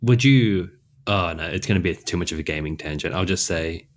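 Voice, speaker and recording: A man's voice: deep voice, fairly quick. A man from Australia with a mild Australian accent, co-hosting a podcast in laid-back, chatty conversation. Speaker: podcast co-host. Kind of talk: casual chat.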